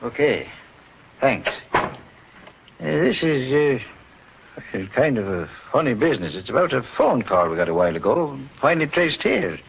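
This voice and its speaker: An actor playing a plain-spoken, gullible police officer, hesitant and colloquial, in 1940s radio style. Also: male voice, medium pitch, sing-song, moderate pace, vocal fry, long pauses. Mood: casual, businesslike. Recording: noisy, dull old radio recording.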